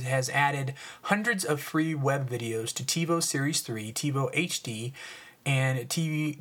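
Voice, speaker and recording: A man's voice: medium pitch, a bit bright. A man hosting a casual solo podcast, rambling with lots of fillers and sarcastic asides, sniffling as he talks. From United States. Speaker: podcast host.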